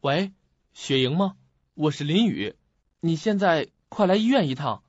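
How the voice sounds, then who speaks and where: An actor in an audio drama playing an earnest, puzzled young student who questions and muses to himself. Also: male voice, a little high, expressive, unhurried, very noisy. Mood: urgent, pondering. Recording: muffled lo-fi audio.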